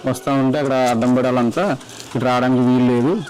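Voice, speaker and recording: A man's voice: mid-range voice, steady tone, fast, a little mellow, a little hiss or hum, rough recording. A man, a villager, giving an eyewitness account in a conversational interview.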